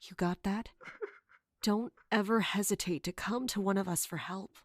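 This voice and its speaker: An actress playing a supportive captain in an audio drama, consoling a comrade in a measured, gentle, reassuring way. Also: female voice, mid-range voice, fairly even intonation, no echo, studio quality, close to an everyday voice.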